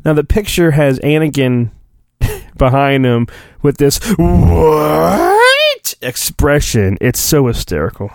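A man's voice: fairly high pitch, dry recording, clean studio sound. A man hosting a podcast, giving a hammy, irreverent storybook reading with joking asides. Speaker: podcast host.

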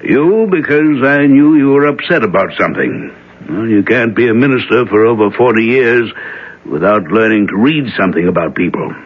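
An actor playing an older minister and troubled father, speaking in a measured, earnest, gently probing way in a 1970s radio drama. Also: lowish voice, animated. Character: aging reverend, troubled worried father. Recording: muffled old radio broadcast.

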